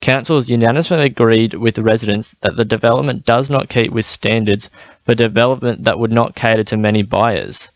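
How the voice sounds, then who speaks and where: A man's voice: mid-range voice, steady tone, brisk, dry recording, muffled recording. A man with an Australian accent reading scripted radio news bulletins. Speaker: radio newsreader.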